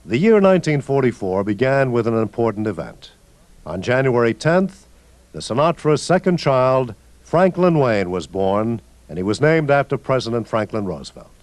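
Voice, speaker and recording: A man hosting and narrating a scripted radio tribute in a measured announcer manner. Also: mid-range voice, very animated, darkish tone, no echo. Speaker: radio documentary narrator and host.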